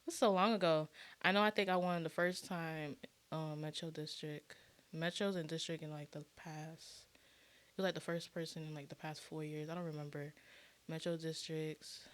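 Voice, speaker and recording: This is a woman from Florida with a slightly low-set voice. She is a guest in an interview and answers in casual, conversational speech. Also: steady tone, moderate pace. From Orlando, Florida, USA. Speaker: podcast guest, hairstylist.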